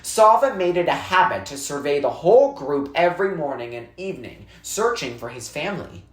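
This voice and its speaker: A narrator reading a children's novel aloud expressively and deliberately, with dramatic pauses for emphasis. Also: mid-range voice, expressive. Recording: roomy, echoey sound.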